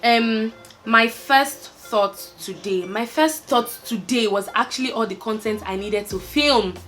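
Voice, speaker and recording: A woman's voice: fairly high pitch, a little slow, a bit bright, faint music behind. A young woman with a Nigerian accent, chatting candidly to camera, laughing and trailing off with fillers. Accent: Nigerian, Lagos. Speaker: vlogger. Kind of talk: casual chat to camera, answering a tag list.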